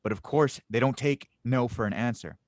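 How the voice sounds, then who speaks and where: A man's voice: mid-range voice, moderate pace, dry recording, clean studio sound, lightly acted. A man playing a guarded, defiant young fugitive inventor, terse and evasive, in an audio drama table read.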